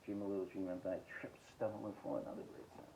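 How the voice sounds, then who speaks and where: A man hosting a casual, rambling music collection review, listing titles haltingly and giving blunt, short verdicts. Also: deep voice, level tone, warm tone, slight background noise, rough recording. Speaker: host of a music collecting podcast.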